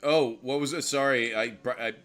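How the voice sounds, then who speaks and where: A man hosting a casual chat, excitable and stammering as he talks. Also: mid-range voice, moderate pace, crowd noise. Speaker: host.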